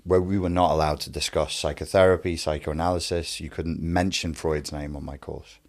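Man, very low voice, light scouse accent, wry, self-mocking, laughs a lot. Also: level tone, normal speed. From Wirral, England. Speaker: guest, narcissism coach. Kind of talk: candid conversational storytelling.

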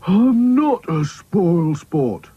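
A sulky cartoon rag doll, slow and husky. He moans stubbornly and drags his words, pitched up in a dull, lo-fi recording. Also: big pitch swings, no room sound, heavily acted. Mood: sulky protest. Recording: dull, muffled lo-fi audio.

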